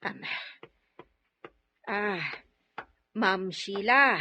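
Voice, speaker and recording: Put-upon female servant, Ilocano Filipino accent, slow-paced but wildly swooping delivery, exclaiming and muttering, for radio drama. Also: medium pitch, animated, pushed and bright, full character voice. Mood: complaining, timid. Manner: exclaiming, wailing, muttering asides. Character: flustered, long-suffering female household maid, grumbling and deferential.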